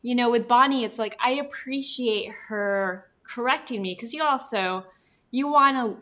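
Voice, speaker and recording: A woman whose first language is Spanish, co-hosting a library podcast in a relaxed chat full of fillers. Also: a little high, moderate pace. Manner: chatty, many fillers like "like" and "you know". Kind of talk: casual chat.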